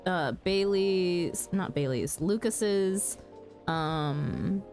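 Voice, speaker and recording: A woman's voice: on the low side, very slow, darkish tone, faint music behind. A woman streamer in casual chat while gaming, laid-back, laughing often and swearing freely.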